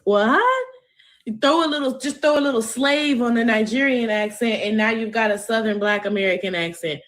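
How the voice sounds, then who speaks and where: A woman's voice: on the high side, normal speed, a bit bright. A woman commentator giving an indignant, emphatic opinion with sarcastic jabs. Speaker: online commentator.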